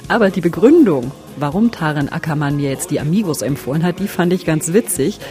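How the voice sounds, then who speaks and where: A woman speaking in a slightly low voice, chatty and frank and quick to poke fun at herself. She talks through her challenge in a casual first-person way, with faint music behind her. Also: lively intonation, mellow. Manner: chatty, frank, self-mocking. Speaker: radio journalist doing a music challenge.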